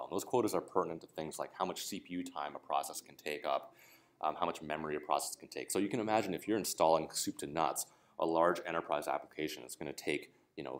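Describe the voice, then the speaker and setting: A man giving a casual, explanatory technical talk at a conference, often checking in with "right?". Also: very deep voice. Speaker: conference speaker. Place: conference room.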